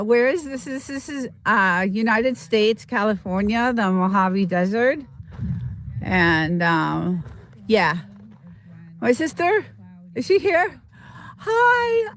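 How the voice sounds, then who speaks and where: Sniffly woman rambling on a slow livestream. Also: fairly low pitch. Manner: halting, rambling, full of fillers and restarts. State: sniffly with a slight cold. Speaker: livestream host. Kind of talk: casual live stream chat, greeting viewers. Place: outdoors in a desert.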